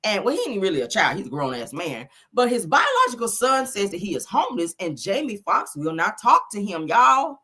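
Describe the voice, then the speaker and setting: Sassy woman host, sick and hoarse. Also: mid-range voice, huge pitch swings, fairly quick, hardly any pauses. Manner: loud, sassy, calls viewers honey and baby. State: sick, voice deeper than usual. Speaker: host of a celebrity gossip show. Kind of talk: animated solo commentary.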